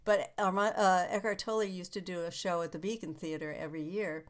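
A woman in a casual conversation, telling stories with lively acted-out quotes. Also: on the low side, fairly quick.

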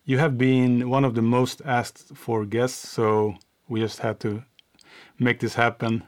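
This is a man with a Swedish accent who hosts a podcast and speaks English as a second language. His pitch sits in the middle of the male range. He talks in a friendly, conversational way, pausing often on fillers. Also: flat delivery, dry recording. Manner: friendly, hesitant with frequent fillers. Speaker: podcast host.

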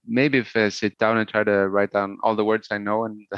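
A middle-aged man from Sweden, a native Swedish speaker, talking haltingly and thoughtfully as a musician in a casual interview. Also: fairly low pitch, flat delivery, no room sound, dull audio. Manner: hesitant, halting, thoughtful, searching for words.

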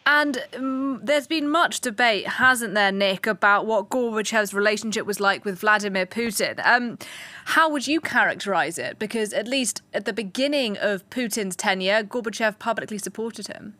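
A woman's voice: medium pitch, moderate pace, sharp tone, dry recording. A woman news anchor putting questions to a guest in a broadcast interview.

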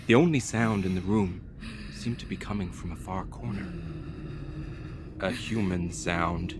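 An actor playing a frightened ex-military delivery courier, telling a horror story in a theatrical, deliberate, suspenseful way. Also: male voice, low voice, noisy background. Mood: hushed dread. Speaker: narrating lead actor. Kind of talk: dramatic first-person horror audio play narration.

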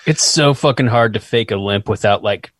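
A low-voiced man cuts in with sarcastic, swearing asides in casual podcast chat. The sound is dry and close. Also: normal speed, a bit bright, dry recording. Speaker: podcast co-host.

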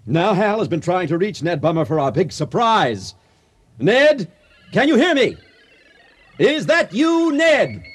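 A man's voice: high-pitched, very animated, unhurried, soft background music, full character voice. An actor in a radio play playing an effusive, ceremonious male master of ceremonies at a gala. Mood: grand, announcing.

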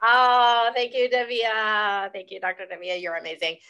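A woman's voice: medium pitch, level tone, moderate pace, bright, forceful tone, clean tone, lo-fi sound. A woman in her forties, a speaking coach, introducing herself to a group in an earnest, encouraging way with a touch of self-deprecating humor. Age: 40s. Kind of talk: guest self-introduction on a call.

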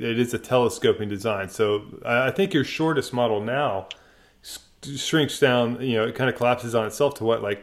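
A man hosting a podcast, asking casual questions that ramble and correct themselves as he goes. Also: mid-range voice. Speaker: podcast host.

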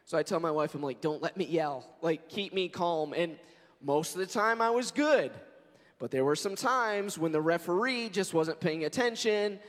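Man, 40s, pastor, hoarse scratchy voice, earnest conversational preaching, high-ish voice, long church reverb. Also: expressive, moderate pace, a bit bright. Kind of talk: sermon with prayer and scripture reading. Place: church sanctuary.

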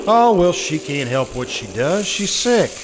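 An actor playing a stubborn, dismissive husband in a radio suspense drama, hesitating and brushing off worries. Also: male voice, on the high side, very animated, a little slow. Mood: casual. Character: stubborn, dismissive husband driving at night, reassuring. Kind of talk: old-time radio suspense drama acting. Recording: noisy live amateur stage recording.

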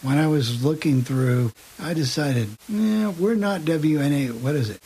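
A man's voice: fairly high pitch, measured pace, mellow, breathy edge, poor audio. A man co-hosting a radio show and casually introducing material. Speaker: radio show co-host and program director. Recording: faint hiss.

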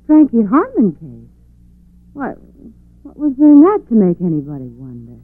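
A woman's voice: a little high, huge pitch swings, normal speed, no echo. An actress playing a poised, sceptical young woman in a 1940s radio drama. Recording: muffled lo-fi 1940s radio recording.

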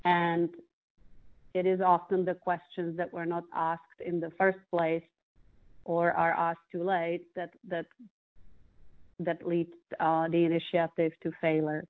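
A woman's voice: fairly low pitch, completely flat delivery, measured pace. A woman from the Czech Republic with a Czech accent, a guest in an interview, speaking thoughtfully with frequent restarts. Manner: thoughtful, many restarts and fillers. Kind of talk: interview conversation. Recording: phone-like narrow band.

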